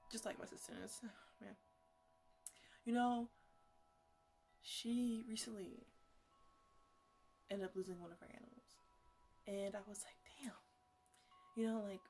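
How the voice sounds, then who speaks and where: A woman's voice: medium pitch, vocal fry, lightly acted. A woman playing an eccentric, chatty botanist in an ASMR roleplay, rambling and stammering as she talks fondly and scoldingly to her plants.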